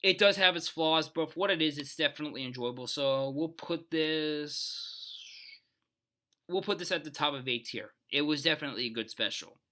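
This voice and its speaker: A man with a very bright, forceful voice sits a little high in pitch. He hosts a video, talking off the cuff in a rambling, opinionated way. His delivery is casual but emphatic. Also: normal speed. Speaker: video host. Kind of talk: casual unscripted ranking commentary.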